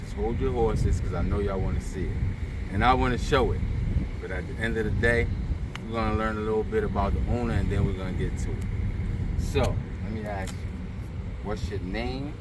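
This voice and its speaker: A man's voice: lowish voice, a little fast. A man hosting a car show outdoors, a casual interviewer who talks in slang with lots of hype and repeats himself. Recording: distant mic, loud street noise.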